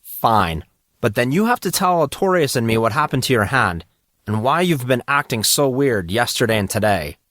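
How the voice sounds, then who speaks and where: A man voicing an excitable, stubborn teenage schoolboy, bantering in casual slang in an audio drama. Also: mid-range voice, normal speed, no room sound, clean studio sound, natural voice. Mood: earnest, bargaining.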